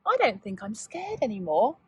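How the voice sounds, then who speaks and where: A woman's voice: fairly high pitch, wildly swooping pitch, normal speed, fairly bright tone, breathy edge, dry recording, heavily acted. A woman voicing an earnest, childlike little boy in a children's storybook read aloud. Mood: sleepy, relieved. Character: little boy Tom, timid at first, then sleepily brave.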